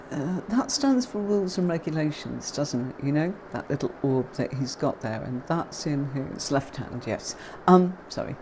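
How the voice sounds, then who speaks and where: A woman's voice: deep voice, darkish tone, slight background noise. An English woman from Yorkshire hosting a tarot livestream, chatting with viewers and teaching card meanings, with many fillers and a neutral accent. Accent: neutral southern English, faint Yorkshire. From Yorkshire, England.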